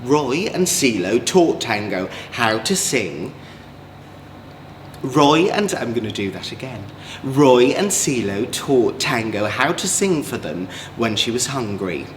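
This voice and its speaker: A man with an English accent, a drag queen storyteller, reading a children's story in an expressive, gentle way. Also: mid-range voice, slow pace. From Manchester, England. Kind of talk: children's storybook read-aloud. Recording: echoey room, clear background noise.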